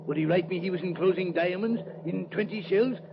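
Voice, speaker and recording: Villain: articulate, haughty crime boss, fairly high voice, quick-tempered; noisy old radio western. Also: male voice, gravelly, highs cut off. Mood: irritated, scornful. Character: irascible, articulate smuggler boss, haughty and scheming.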